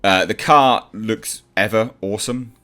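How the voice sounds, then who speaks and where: A man's voice: fairly low pitch, measured pace, pushed and bright. A man hosting casual movie news commentary, opinionated and blunt, with sardonic asides. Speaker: host of a movie news channel. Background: background music.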